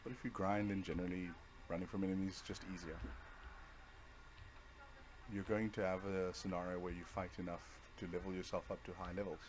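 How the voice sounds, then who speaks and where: A man's voice: deep voice, level tone, fairly quick, long pauses, poor audio. A man giving casual, offhand commentary over his own video game playthrough, half talking to himself. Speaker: let's play host.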